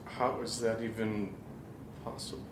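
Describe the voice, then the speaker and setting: A man's voice: fairly low pitch, level tone, unhurried, lightly acted. An actor playing a serious, hesitant man facing eerie events in a naturalistic audio drama, in an echoey, noisy recording. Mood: puzzled, probing.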